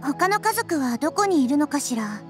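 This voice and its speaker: High girlish voice, wise kindly child goddess, composed, reassuring, articulate, anime game, faint music. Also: very animated, moderate pace. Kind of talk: anime-style video game voice acting.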